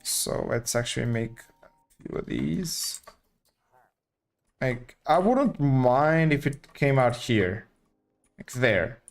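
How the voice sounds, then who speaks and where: A man's voice: mid-range voice, expressive, slow pace, long pauses, faint music behind. A young man from Portugal, casually thinking aloud as he live-streams a building game. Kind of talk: live gameplay commentary.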